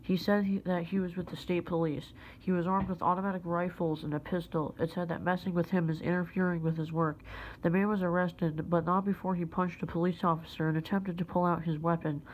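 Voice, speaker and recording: A woman playing a formal, measured news anchor reading a scripted special report. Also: on the low side, darkish tone, a little hiss or hum, close to an everyday voice, low-quality recording.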